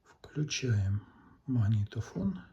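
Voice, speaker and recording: Slow-talking man explaining repairs. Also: on the low side, lively intonation, highs cut off, very noisy. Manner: unhurried, explanatory, thinking aloud. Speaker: electronics repair hobbyist narrating. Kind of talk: casual step-by-step repair demonstration.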